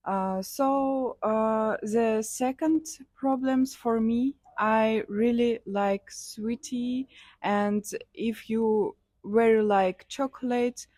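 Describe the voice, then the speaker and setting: Slow hesitant young Kazakh woman. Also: fairly high pitch, steady tone, darkish tone, no echo. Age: young adult. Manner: hesitant, many fillers, simple non-native English. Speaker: interviewee, student. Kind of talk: street interview answers.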